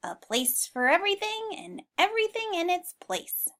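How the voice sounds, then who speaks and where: A woman's voice: very high voice, very animated, moderate pace, sharp tone, pitched above the performer's own voice, exaggerated voice. A woman voicing a tidy, earnest, proud male farm animal in a children's storybook. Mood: fussy.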